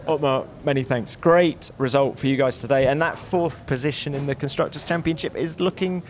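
A man's voice: medium pitch, fairly quick. A man hosting a motor racing podcast, chatting and putting questions out in the paddock. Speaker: podcast host and interviewer. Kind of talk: motor racing review chat and interviews. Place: outdoors in a race paddock. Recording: clear outdoor background noise. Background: outdoor urban and vehicle noise.

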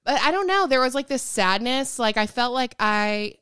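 A woman's voice: fairly high pitch, animated, pushed and bright, clear, resonant tone, dry recording, studio quality. A woman in her late thirties co-hosting a podcast, telling stories in a chatty manner and laughing easily. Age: late 30s. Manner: chatty, storytelling, quick to laugh. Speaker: podcast co-host. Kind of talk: casual chat.

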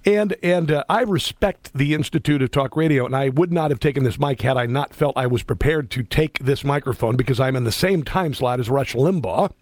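A man's voice: medium pitch, fairly quick, few pauses, no room sound. A man in his fifties hosting a talk radio show, rambling and chummy, laughing often. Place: radio studio. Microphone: studio microphone.

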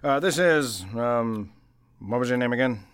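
A man's voice: medium pitch, animated, measured pace, clear, resonant tone, no echo, studio quality, natural voice. Man playing blustering, absent-minded office boss. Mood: flustered. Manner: flustered, hesitant, stammering. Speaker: actor in a radio play. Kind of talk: old-time radio play acting.

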